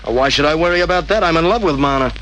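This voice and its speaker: A man's voice: a little high, very fast, a bit bright, lightly acted, low-quality recording. A man playing a smug, defiant young killer in an old-time radio crime drama, insolent and sneering. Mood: cool, mocking. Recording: old radio recording with faint hiss.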